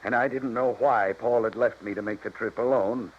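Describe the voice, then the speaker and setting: An actor playing a bitter betrayed husband in a 1950s radio drama, intense and halting. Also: male voice, lowish voice, fairly even intonation, natural voice. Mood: shaken, relieved. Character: bitter betrayed husband, a yacht owner who survived sabotage. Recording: noisy, dull 1950s radio recording.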